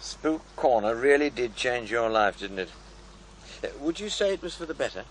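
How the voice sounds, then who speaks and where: An actor playing a sceptical programme-maker in a radio drama, asking probing questions in a dry, blunt manner. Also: male voice, mid-range voice, expressive, moderate pace, slight background noise, natural voice. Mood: pressing, doubtful. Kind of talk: naturalistic radio drama acting.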